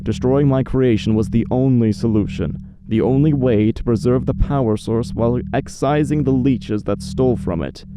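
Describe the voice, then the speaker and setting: A man playing a cold, grandiose villain who rules a dream realm, speaking with measured menace in an audio drama. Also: medium pitch, over music, lightly acted.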